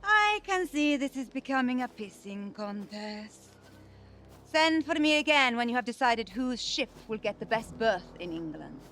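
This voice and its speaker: A woman's voice: a little high, big pitch swings, measured pace, fairly bright tone, soft background music, exaggerated voice. A woman playing a scornful, impatient Norse leader in a video game, with dry dramatic delivery.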